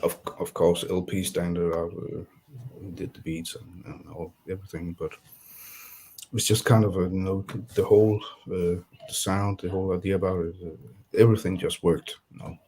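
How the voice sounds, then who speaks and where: Danish-accented male guest, deep voice, hesitant, conversational. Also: steady tone, mellow, slight background noise, rough recording. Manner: halting, searching for words. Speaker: guest beat producer. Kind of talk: casual interview chat.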